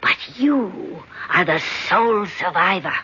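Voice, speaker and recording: Detached, superior alien; measured and bright, old radio drama. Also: male voice, high-pitched, animated, breathy edge, heavily acted. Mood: coldly superior. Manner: cold, measured pronouncements. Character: cold alien being, calm and superior. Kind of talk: 1970s radio drama acting. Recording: dull old radio broadcast.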